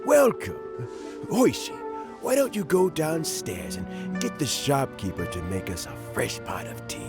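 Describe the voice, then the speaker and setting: A man playing a gentle, courteous older statesman in a video game, speaking in a measured, formal way. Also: mid-range voice, huge pitch swings, over music. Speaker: game character.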